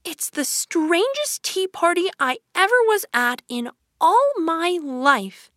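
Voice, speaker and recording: A woman's voice: extremely high pitch, very animated, takes it slowly, a bit bright, no room sound, clean studio sound, pitched above the performer's own voice, heavily acted. A woman voicing a curious, polite storybook girl with a prim, earnest manner who is easily put out. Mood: bemused. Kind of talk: storybook character reading.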